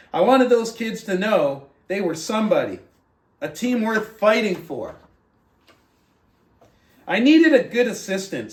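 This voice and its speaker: Higher-voiced man in a roomy, distant recording. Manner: earnest, conversational, rhetorical check-ins like "right?". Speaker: book club host, motivational reader. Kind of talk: motivational talk and reading a book aloud. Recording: roomy echo, distant mic.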